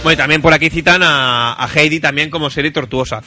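A man with an accent from Spain hosting a casual radio show, chatting in an excitable, rambling and sweary way. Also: a little high, fast talker, music in the background. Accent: Spanish from Spain. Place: radio studio, at a microphone.

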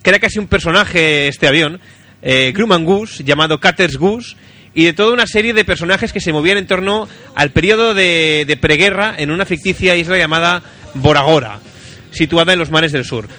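Bright-voiced, excitable Spanish radio host, male. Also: a little high, moderate pace. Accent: Spanish from Spain. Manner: excitable, rambling, sweary. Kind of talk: casual, chatty radio talk. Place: radio studio, at a microphone.

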